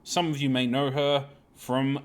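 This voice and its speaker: A man's voice: medium pitch, level tone, unhurried, clean tone. A man hosting a live gaming stream, chatting casually in a self-deprecating, apologetic way with plenty of swearing.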